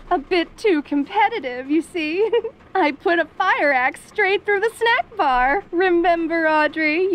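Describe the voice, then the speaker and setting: A woman's voice: very high voice, a bit bright, heavily acted. A woman playing a cheerful, doting yandere mother who teases in a sugary, coaxing way in an audio roleplay. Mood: cheerfully unhinged.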